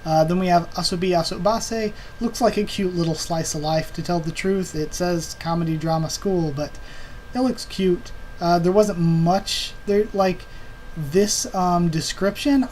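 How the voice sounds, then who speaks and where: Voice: mid-range voice, fairly even intonation, unhurried, a little mellow, poor audio. A young adult host chatting casually through a season preview, rambling with lots of ums and uhs, recorded at a home computer desk. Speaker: anime video channel host. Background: computer fan hum.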